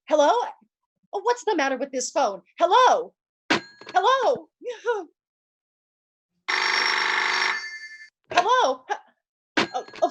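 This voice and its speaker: Woman acting shrill-pitched, frantic bedridden lady. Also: noisy background, full character voice. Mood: agitated. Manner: fretful, stammering, indignant. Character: nervous, demanding bedridden woman, frantic and imperious. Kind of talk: old-time radio suspense acting.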